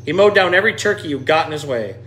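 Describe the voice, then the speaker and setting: A man reads a children's storybook aloud with expressive, dramatic delivery. His voice sits a little higher than most men's. Some noise is audible behind him. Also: fast talker. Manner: expressive, dramatic dialogue readings. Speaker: storyteller reading a picture book aloud. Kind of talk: animated children's storybook reading.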